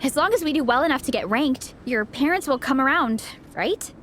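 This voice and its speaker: A woman voicing a sweet, supportive young athlete in a video game, gentle, sincere and encouraging. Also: sits high, expressive, a little fast, slight background noise. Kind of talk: scripted video game voice acting.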